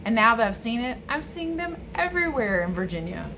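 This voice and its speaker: A woman's voice: fairly high pitch, sing-song, fairly quick, noisy background. A woman reading and explaining to young viewers as a children's read-aloud teacher and host.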